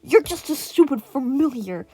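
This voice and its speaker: A woman voicing a snarling, petulant male villain who yells with scorn in an amateur audio story, over music. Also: high voice, expressive, normal speed, creaky, pitched above the performer's own voice. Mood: enraged, scornful. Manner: yelling and snarling.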